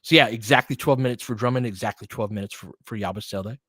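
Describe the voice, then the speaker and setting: A man doing live basketball play-by-play and analysis as a host, informal and openly partisan, excitable on big plays. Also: fairly low pitch, fast talker, dry recording, studio quality.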